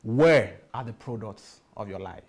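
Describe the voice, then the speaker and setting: A man's voice: lowish voice, expressive, measured pace, gravelly, darkish tone. Emphatic man giving a motivational workshop talk. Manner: emphatic, repeats key phrases, asks the audience rhetorical questions. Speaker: workshop speaker.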